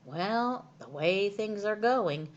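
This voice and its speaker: Woman as a plain-spoken, unhurried storybook farmer. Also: mid-range voice, expressive, muffled recording, pitched above the performer's own voice. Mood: matter-of-fact. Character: practical male farmer puzzled by his birds. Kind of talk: children's storybook character reading.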